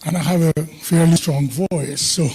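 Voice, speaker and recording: A man's voice: fairly high pitch, steady tone, moderate pace, darkish tone, slightly rough. An older Indian man with an Indian English accent giving an emphatic, self-assured conference speech with chatty asides, his voice slightly hindered by a tooth infection. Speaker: conference speaker. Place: conference hall.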